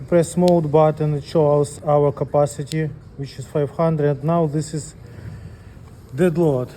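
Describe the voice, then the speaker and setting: Male technician for how-to demo, slow plain delivery, relaxed timbre, slight background noise. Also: on the high side. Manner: plain step-by-step explaining. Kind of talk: hands-on instructional demonstration.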